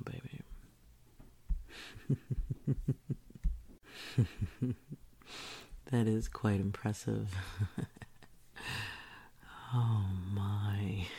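A woman doing an intimate whispered audio roleplay, teasing and commanding in a breathy whisper close to the mic. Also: very deep voice, mellow.

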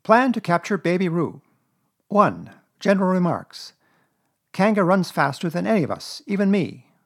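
Man playing a loud, bossy, high-voiced storybook rabbit. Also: sing-song, heavily acted. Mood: pompous, declaiming. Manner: brisk, emphatic, pompous, lecturing. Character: bossy, self-important male rabbit who makes plans. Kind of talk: children's storybook character reading.

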